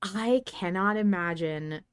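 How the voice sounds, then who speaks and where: A woman hosts a casual fan podcast, speaking at a middling pitch. She theorizes with animation and swears freely. The sound is close and dry, with no room echo. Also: slow pace, clear, resonant tone. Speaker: podcast host.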